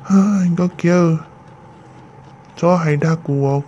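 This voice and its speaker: A man voicing a male character in an animated family drama, in a dull lo-fi recording. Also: a little high, takes it slowly, a little hiss or hum. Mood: conversational. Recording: dull lo-fi sound. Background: music.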